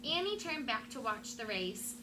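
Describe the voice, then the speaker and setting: A woman teacher doing an expressive storybook read-aloud for her class. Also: on the high side, normal speed. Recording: clear background room noise.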